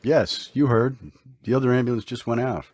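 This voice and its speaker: A man playing a steady, solemn, dutiful ambulance partner in an old-time radio drama, speaking gravely and insistently. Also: mid-range voice, moderate pace, lightly acted.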